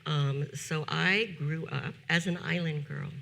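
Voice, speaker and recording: A woman in her fifties speaks at a talk with a bright, forward tone and a gravelly edge. Her delivery is deliberate, slowing down to weigh key phrases. She sounds like a practiced teacher addressing an audience. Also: deep voice, steady tone. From United States. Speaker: teacher giving a keynote talk. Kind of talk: prepared conference talk.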